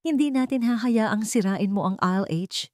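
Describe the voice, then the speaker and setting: A woman's voice: mid-range voice, moderate pace, darkish tone, dry recording, studio quality, voice pushed up, lightly acted. A woman narrator voicing a defiant young woman who protects her family, indignant and confrontational, in a dramatic story.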